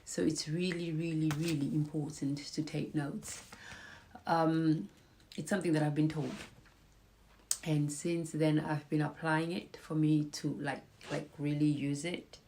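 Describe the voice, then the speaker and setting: A woman's voice: sits low, level tone, unhurried, mellow, slight background noise. A woman hosting a casual live stream, chatting to viewers and encouraging them, with a slight cold in her voice. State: has a cold. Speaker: host.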